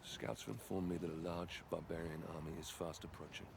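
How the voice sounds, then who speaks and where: A grim, very deep Roman centurion. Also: male voice, a little fast, gravelly. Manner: grim, clipped, dutiful. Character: grim, loyal male Roman centurion, hard-edged. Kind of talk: video game narration and dialogue. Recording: reverberant and noisy.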